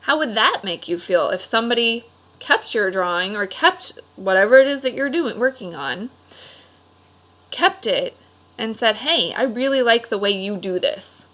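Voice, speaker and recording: A woman's voice: on the high side, animated, normal speed, a bit bright, rough recording. Woman teacher guiding children through a lesson. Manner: encouraging, asks questions and checks in with "okay?"; simple explanatory phrasing aimed at children. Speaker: elementary school teacher. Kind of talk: read-aloud discussion lesson for children.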